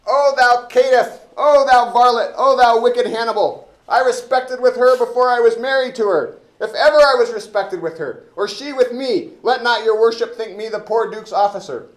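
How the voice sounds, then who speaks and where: A man's voice: very high voice, moderate pace, few pauses, roomy sound, pitched above the performer's own voice, low-quality recording. A man playing an indignant, self-important, foolish constable in a classroom reading of an old stage comedy, pompous and sputtering. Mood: outraged, blustering.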